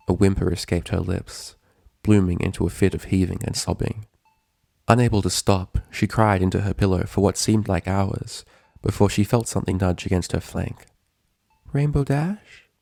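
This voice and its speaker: A man's voice: low-pitched, little pitch movement, fairly quick, gravelly, a little mellow, no echo, clean studio sound. A man reading a story aloud as its narrator, in scripted prose narration. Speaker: narrator, fan fiction reader.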